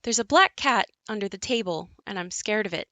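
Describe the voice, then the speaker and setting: A woman playing an adult narrator who looks back on her haunted childhood home in a scripted audio play, reflective and measured. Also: mid-range voice, animated, sharp tone, no room sound. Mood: reflective, confiding.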